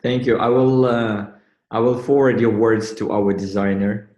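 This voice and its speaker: A man from Ukraine interviewing over a video call in halting English, with many pauses and fillers. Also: lowish voice, flat delivery, darkish tone, echoey room, lo-fi sound.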